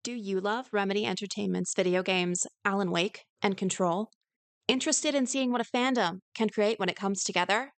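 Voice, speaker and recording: A woman's voice: mid-range voice, moderate pace, no echo, natural voice. A woman playing a radio sponsor announcer, reading a scripted promotional spot.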